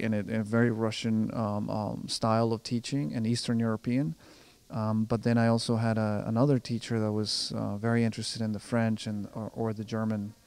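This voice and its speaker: A young man from Colombia, whose first language is Spanish, answers interview questions in a low, gravelly voice. His pitch barely moves as he goes, and he stumbles through restarts and fillers while he thinks aloud. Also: darkish tone. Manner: hesitant, many restarts and fillers. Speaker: composer and pianist.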